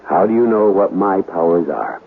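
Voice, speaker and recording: An actor playing a bold, questioning, stubborn ghost hunter in a radio drama. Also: male voice, low-pitched, normal speed. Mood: earnest, probing. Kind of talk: 1970s radio drama acting. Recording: thin phone-like old radio recording, hiss.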